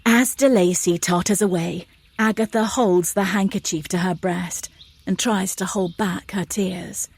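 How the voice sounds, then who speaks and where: A woman with a husky voice, narrating an audio drama as scripted scene description. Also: mid-range voice, steady tone, measured pace.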